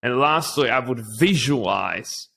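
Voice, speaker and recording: A man's voice: mid-range voice, lively intonation, measured pace, creaky, fairly bright tone. A man from the Czech Republic with a Czech accent, speaking English as a second language as an interview guest telling his story conversationally.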